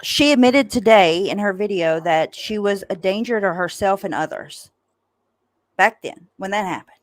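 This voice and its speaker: Female panelist, average pitch, firm and matter-of-fact in casual commentary. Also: moderate pace, dry recording. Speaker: livestream panelist.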